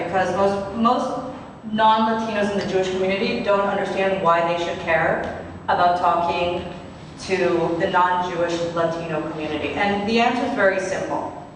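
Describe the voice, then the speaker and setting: A woman giving a public talk as a guest speaker at a community event. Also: on the low side. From Miami, United States. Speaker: guest speaker, nonprofit chief executive. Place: large reverberant room, community event. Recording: very echoey hall, distant mic, faint noise.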